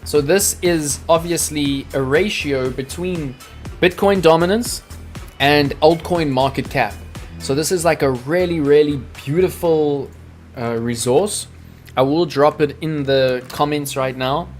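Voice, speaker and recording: A man hosts a live crypto trading stream. He sounds hyped and salesy, and he keeps calling out to his chat. His voice sits a bit high, with soft music underneath. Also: unhurried. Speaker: crypto trading livestream host.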